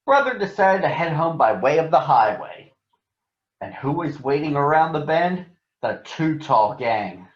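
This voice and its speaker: A man reading a children's story aloud in an expressive, measured manner. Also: fairly high pitch. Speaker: storybook reader. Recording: dull, echoey room.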